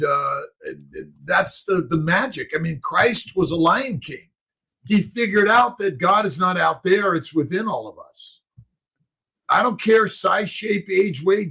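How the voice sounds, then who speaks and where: A male host with a high voice full of gravel and rasp. He talks emphatically, preaching his point with conviction. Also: fairly bright tone. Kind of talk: casual conversation.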